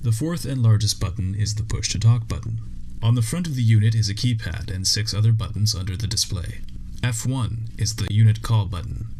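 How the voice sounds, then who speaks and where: A man reading a scripted instructional narration in a measured, step-by-step way as a training video narrator. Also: lowish voice, dark tone, a little hiss or hum.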